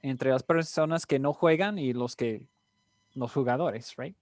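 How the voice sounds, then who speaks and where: A man's voice: medium pitch, a little slow, darkish tone, dry recording. Male tutor, casual, keeps checking in; dry, close recording. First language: English. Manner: mixes English fillers into Spanish, frequent "right?".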